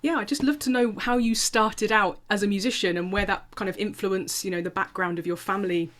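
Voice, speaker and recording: A woman's voice: mid-range voice, fairly even intonation, brisk, a bit bright. A woman hosting a podcast, introducing her guest enthusiastically and then interviewing her. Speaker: podcast host.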